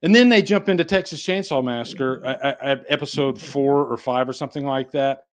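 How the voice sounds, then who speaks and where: A man's voice: mid-range voice, fairly quick. A man hosting a casual podcast discussion in a conversational, rambling way, swearing casually. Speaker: podcast host.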